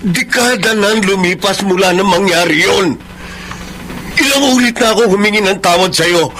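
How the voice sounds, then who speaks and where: A man's voice: sits high, moderate pace, sharp tone, a little hiss or hum. A man playing a remorseful middle-aged father in a radio drama, pleading and reproving with heavy emotion. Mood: pleading, anguished. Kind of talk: radio drama acting in Filipino.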